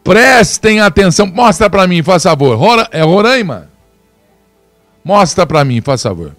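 A man giving opinionated commentary, slowing down to stress his points with emphatic, deliberate delivery. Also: high voice, sing-song, fairly bright tone, soft background music. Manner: emphatic, slows down to stress words. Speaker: commentator presenting video clips.

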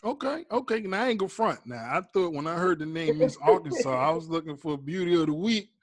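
A man's voice: fairly high pitch, expressive, quick pace. A man hosting a casual comedy interview, bantering in playful, slangy talk. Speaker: host, comedian.

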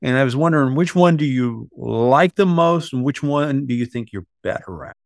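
A man casually interviewing a guest, curious and halting, rephrasing his questions mid-sentence. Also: mid-range voice, animated, creaky, no echo, clean studio sound. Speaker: podcast interviewer.

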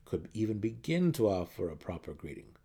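A man's voice: on the low side, very animated, a little fast, creaky, warm tone, slightly rough. A man reading a fantasy novel as a narrator in a theatrical, emphatic way. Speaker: audiobook narrator.

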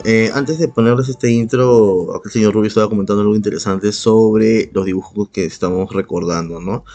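A man with a Peruvian accent and a husky voice chatting casually as a podcast co-host, slangy and profane. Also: lowish voice, a little mellow. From Peru. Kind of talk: casual chat, reminiscing.

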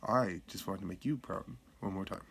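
An actor playing an awkward, tender middle-aged father who speaks haltingly and earnestly in a radio play. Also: deep voice, a bit bright, a little hiss or hum. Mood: regretful, tender. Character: awkward, tender, apologetic father of grown children. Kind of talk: radio play acting, heartfelt spoken message.

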